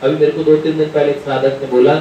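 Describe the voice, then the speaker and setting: A heavily reverberant recording, picked up from a distance with some rumbling noise, of a man giving a devotional talk. He speaks as a spiritual teacher in an informal, conversational style. He wanders through anecdotes and voices bits of dialogue as he goes. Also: flat delivery, quick pace, warm tone, highs cut off. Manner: conversational, rambling storyteller, often quoting dialogue. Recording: very echoey room, distant mic, rumbling noise.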